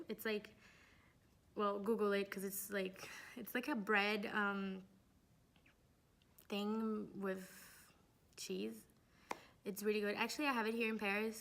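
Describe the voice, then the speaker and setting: A woman's voice: medium pitch, vocal fry, fairly bright tone. A young woman from Brazil, a student, chatting playfully to camera and leaning on "like" and "you know". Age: young adult. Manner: chatty and playful, laughs often, many "like" fillers. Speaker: student interviewee. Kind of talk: casual chat to camera. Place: Paris.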